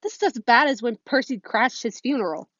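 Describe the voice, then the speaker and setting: A woman's voice: on the high side, brisk, a bit bright, no echo. A woman voicing a hot-tempered, exasperated teenage girl with an emphatic manner in a story reading. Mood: outraged. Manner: emphatic, indignant. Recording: muffled phone recording.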